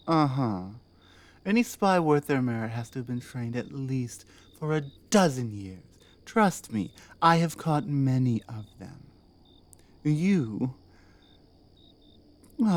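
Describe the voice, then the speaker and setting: A woman as a low-voiced, imperious orc queen. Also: darkish tone. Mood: teasing, seductive. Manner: imperious, sardonic, dropping into murmurs and whispers. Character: commanding, distrustful, teasing orc queen holding a prisoner. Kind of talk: ASMR roleplay, addressing the listener.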